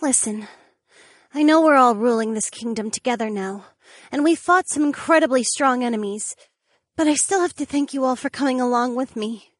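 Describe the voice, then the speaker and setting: A man voicing a determined young mermaid woman, speaking earnestly in a high falsetto for an audiobook. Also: normal speed, bright, forceful tone, dry recording, studio quality. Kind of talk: audiobook character voice.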